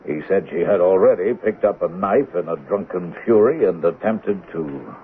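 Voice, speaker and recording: An actor in a 1970s radio drama playing a regretful man who speaks in a hesitant, halting way. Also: low voice. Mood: regretful, uneasy. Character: regretful, hesitant man giving testimony to police. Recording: tinny narrow-band 1970s radio recording, noisy.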